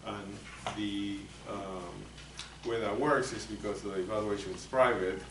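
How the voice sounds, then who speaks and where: A man in his sixties, a native Spanish speaker with a Spanish accent, lecturing lawyers as a psychologist in a soft, hesitant way with many fillers. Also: on the low side, steady tone, a little slow. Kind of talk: continuing-education lecture. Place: meeting room. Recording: echoey room, mic far off, noisy.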